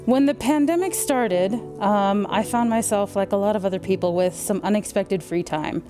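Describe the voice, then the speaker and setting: A young woman student answering documentary interview questions in a reflective, measured way. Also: mid-range voice. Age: young adult. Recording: music bed under the voice.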